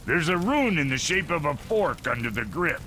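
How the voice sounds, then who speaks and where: An actor playing a crude, boastful male blacksmith in a video game, with a gravelly voice, rough grammar and loud exclamations. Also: fairly high pitch, very animated, moderate pace, a little hiss or hum.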